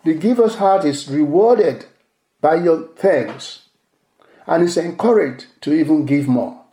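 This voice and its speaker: A male pastor whose first language is Yoruba preaches a sermon in a measured way, repeating key phrases for emphasis. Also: fairly high pitch, animated, warm tone. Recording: echoey room.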